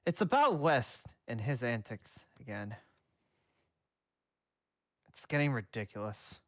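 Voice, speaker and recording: A man's voice: mid-range voice, big pitch swings, takes it slowly, no echo, studio quality. A man voicing an anxious teenage half-ghost hero who talks in a fretful, exasperated way, in a fan storybook reading. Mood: worried.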